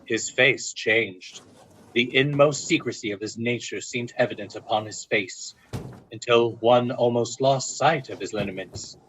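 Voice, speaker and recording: Husky male gothic radio narrator. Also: medium pitch, rough recording. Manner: deliberate, suspenseful storytelling. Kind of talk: dramatic scripted narration in old-time radio style.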